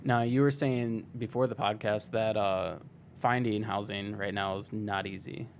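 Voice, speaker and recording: A male podcast host who is losing his voice, asking gentle questions with fillers. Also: lowish voice, fairly even intonation, unhurried, highs cut off, slight background noise. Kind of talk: casual interview.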